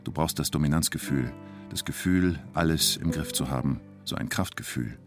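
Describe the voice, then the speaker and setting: An actor as the narrator of a radio play about a robber, speaking in terse, deliberate, clipped phrases. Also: male voice, very deep voice, over music. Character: male narrator telling a bank robber's story tersely. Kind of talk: radio play narration, short present-tense sentences.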